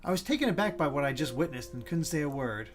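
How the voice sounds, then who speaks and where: A man's voice: a little high, expressive, quick pace. A man co-hosting a game stream, reading the story aloud as narrator with casual asides. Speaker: guest co-host of a game stream. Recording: clear background noise.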